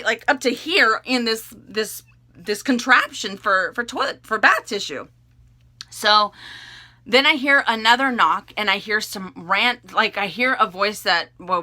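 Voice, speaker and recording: Loud, bright-voiced woman telling a story. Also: medium pitch. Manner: animated, loud, not soft-spoken; rambling with many fillers and restarts. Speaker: storytime vlogger. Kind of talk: casual storytelling to camera.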